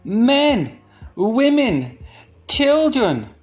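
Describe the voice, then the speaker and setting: A man's voice: extremely high pitch, very animated, drawn-out words, lo-fi sound, soft background music, pitched above the performer's own voice, heavily acted. A man voicing a proud young frog in a storybook, telling of wonders with triumphant, mysterious emphasis. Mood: emphatic, wondrous. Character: proud young tadpole turned well-travelled frog, male.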